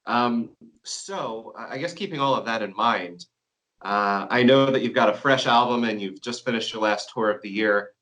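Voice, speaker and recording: A man hosting a metal interview, asking questions in a halting way with fillers. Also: lowish voice, a bit bright, low-quality recording.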